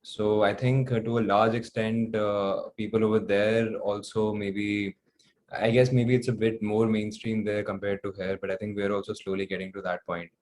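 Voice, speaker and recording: Low-voiced young Indian man, casual interviewee. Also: flat delivery, moderate pace, darkish tone. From New Delhi, India. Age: young adult. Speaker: guest, singer-songwriter.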